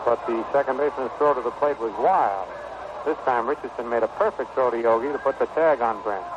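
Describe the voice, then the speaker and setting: An American man calling baseball play-by-play in 1960s radio style, in measured, short phrases with pauses. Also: medium pitch. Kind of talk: 1960s radio sports commentary. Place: stadium broadcast booth. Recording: noisy dull vintage 1960 radio broadcast.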